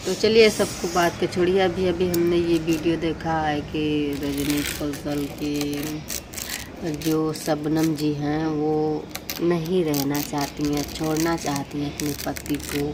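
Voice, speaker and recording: A woman's voice: low-pitched, background noise. A woman vlogger, chatting casually and repetitively to viewers as friends. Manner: conversational, repeats phrases, addresses viewers as friends.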